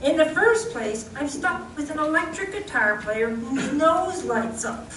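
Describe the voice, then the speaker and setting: A woman's voice: sits high, animated, unhurried, fairly bright tone. A woman playing an exasperated male bandleader in an old-time radio comedy on stage. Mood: complaining. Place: live stage performance of a radio show. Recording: echoey stage, distant mic, noisy.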